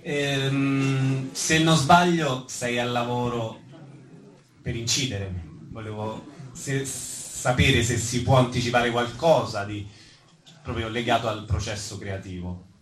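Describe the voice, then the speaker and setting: A man's voice: mid-range voice, fairly even intonation, slow. A man hosting an informal live interview, speaking courteously and with some hesitation. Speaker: interviewer, host of the event. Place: small club venue, indoors, before an audience. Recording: echoey venue, distant mic.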